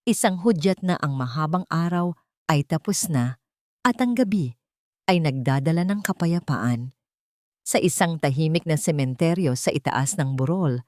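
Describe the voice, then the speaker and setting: A woman narrating a scripted dramatic story in a measured, solemn and sentimental manner. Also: sits low, a little mellow, no echo, studio quality. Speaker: narrator of audio drama stories.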